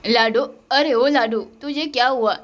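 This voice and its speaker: A woman's voice: steady tone, a little slow, lightly acted. A woman doing a stingy village husband. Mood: grumbling. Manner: lowered pitch, miserly and defensive. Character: stingy middle-aged village husband, grumbling.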